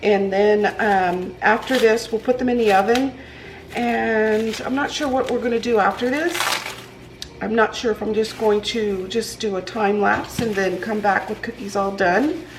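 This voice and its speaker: A nasal, congested woman talks loosely to the camera as she works in her home kitchen. She rambles with fillers and restarts, her pitch sitting in a middling range. Clear kitchen noise and clatter run underneath. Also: steady tone, measured pace, rough recording. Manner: rambling, with many ums and restarts. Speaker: vlogger. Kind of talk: casual chatty cooking vlog. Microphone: camera propped on the counter.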